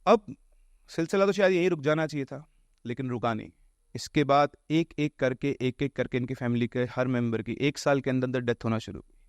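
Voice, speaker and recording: A man's voice: medium pitch, fairly quick, no echo, clean studio sound. A man telling ghost tales casually in an explanatory way, mixing in English words. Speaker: storyteller on a horror podcast.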